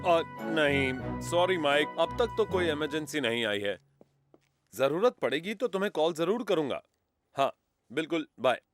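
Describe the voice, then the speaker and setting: A man's voice: on the high side, very animated, measured pace, faint music behind. A man voicing a patient, mildly exasperated firefighter in a children's cartoon dub. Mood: patient, wry.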